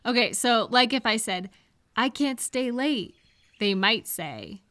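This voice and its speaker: Woman w a slightly high voice, chatty one on one advice roleplay, reassuring, explains stuff. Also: lively intonation, slow pace, bright, forceful tone. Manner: reassuring, explanatory, speaking directly to one listener. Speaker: audio roleplay performer giving supportive advice. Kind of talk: conversational one-sided supportive advice roleplay.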